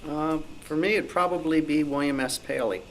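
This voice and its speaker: A man's voice: a little high, normal speed, slight background noise, poor audio. A man informally telling detailed anecdotes in a knowledgeable way at a group discussion. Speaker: club discussion participant.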